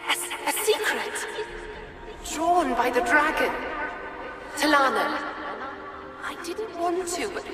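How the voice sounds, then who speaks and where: Slow, echoing, grieving ghost. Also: female voice, sits high, lively intonation. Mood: haunted, remembering. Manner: halting, fragmented phrases with long pauses. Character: grieving ghostly female spirit, dazed and sorrowful. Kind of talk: video game dialogue. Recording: echoey, long reverb, music underneath.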